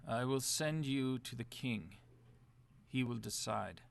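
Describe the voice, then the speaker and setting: An actor playing a stern captor loyal to his king in a game cutscene, gravelly and curt. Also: mid-range voice, slow. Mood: stern, cold. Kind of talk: dramatic video game cutscene acting.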